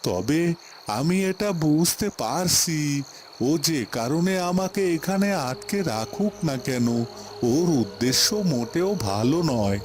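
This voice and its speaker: A man's voice: fairly high pitch, expressive, unhurried. A man acting a worried, loyal captive in a cartoon, brooding aloud in an agitated, self-questioning monologue. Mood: anxious, brooding. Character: worried loyal male captive of a scheming villain. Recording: music behind the voice.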